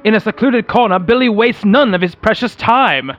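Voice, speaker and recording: A man's voice: high-pitched, lively intonation, moderate pace, a bit bright, muffled recording, faint music behind. A man hosting and narrating a radio play with dramatic scripted delivery. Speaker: podcast host and narrator.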